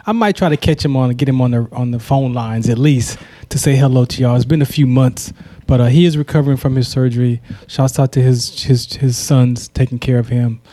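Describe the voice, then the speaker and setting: A man hosting a radio interview show, giving shout-outs and chatting casually with his guest. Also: medium pitch. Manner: warm shout-outs, quick excited reactions. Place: radio station studio.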